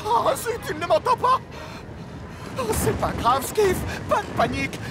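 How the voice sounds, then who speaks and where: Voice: extremely high pitch, very animated, unhurried, over music, crowd noise, full character voice. Un comédien de doublage interprète un petit bateau à voile, serviable mais anxieux, qui pousse souvent des cris et des soupirs inquiets. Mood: frightened. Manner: frequent worried gasps and cries. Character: small anxious but helpful male sailing boat. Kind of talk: French cartoon dub.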